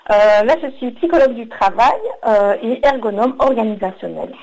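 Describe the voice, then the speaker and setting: A woman's voice: fairly high pitch, moderate pace, darkish tone, poor audio. A woman in her thirties from Belgium, speaking as a radio interview guest, telling her story hesitantly with many fillers. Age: 30s. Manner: hesitant, frequent "euh" fillers and restarts. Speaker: guest, work psychologist. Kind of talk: radio interview, personal account.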